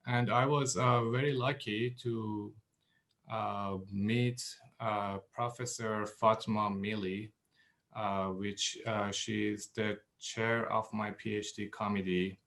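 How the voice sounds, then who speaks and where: A man from Iran, explaining research in halting phrases as an interview guest. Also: fairly low pitch, steady tone, gravelly, a bit bright.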